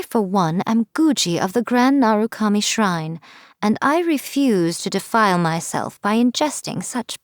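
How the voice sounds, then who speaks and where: A woman's voice: mid-range voice, dry recording, clean studio sound, natural voice. A woman voicing a haughty, playful fox-spirit shrine maiden in a video game, with a teasing, self-assured, theatrical delivery. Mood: haughty, amused. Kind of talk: scripted video game character voice lines.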